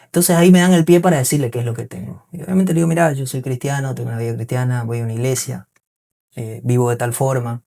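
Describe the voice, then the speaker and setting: A man's voice: mid-range voice, steady tone, quick pace, vocal fry, dark tone. A man from Bolivia with a mixed Bolivian and Argentine accent, chatting casually as a podcast guest, explaining things earnestly and often checking that he is understood. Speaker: interview guest, singer and musician.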